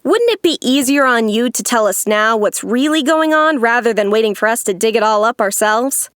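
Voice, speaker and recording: Brash, higher-voiced noir secretary. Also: female voice, lively intonation, moderate pace, a bit bright, clean tone, no room sound, studio quality. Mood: brisk, skeptical. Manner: blunt, no-nonsense. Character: sharp, brash female detective's secretary. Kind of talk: 1940s noir radio acting.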